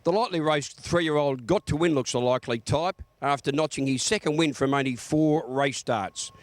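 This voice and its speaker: A man's voice: on the high side, moderate pace, dry recording. A man with an Australian accent reading a scripted horse racing report.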